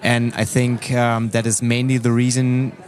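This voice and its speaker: A man's voice: mid-range voice, completely flat delivery, measured pace, a little mellow, soft background music. A man hosting a podcast interview, asking friendly questions and repeating words as he forms them. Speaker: podcast host. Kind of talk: conversational interview.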